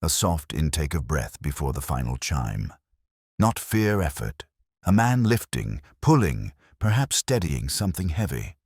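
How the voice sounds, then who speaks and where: A man narrating as a brooding, weary middle-aged detective, in a measured, reflective and wry way. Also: extremely low pitch, sing-song, husky, dry recording, studio quality, full character voice. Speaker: first-person narrator. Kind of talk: scripted radio-drama narration.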